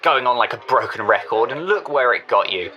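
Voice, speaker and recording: A man's voice: mid-range voice, expressive, brisk, pushed and bright, lo-fi sound. A male actor with a British accent playing a selfish, sarcastic, berating partner in an audio drama. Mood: scornful. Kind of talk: audio drama dialogue acting.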